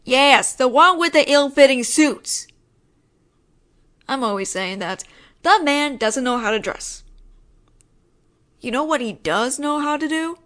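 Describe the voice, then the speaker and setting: A woman performs a female mafia boss in an audio roleplay, her voice pitched on the high side. She talks in wry wiseguy slang and sighs at the incompetence around her. Underneath the exasperation she is apologetic and protective. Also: animated, a little slow, pauses often, a bit bright. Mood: wry, explaining. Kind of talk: roleplay audio drama monologue.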